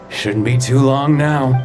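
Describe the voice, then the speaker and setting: A sly mercenary henchman with a mid-pitched voice and a cocky, mocking edge. He sounds businesslike, a game villain heard over dramatic music. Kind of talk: video game voice acting.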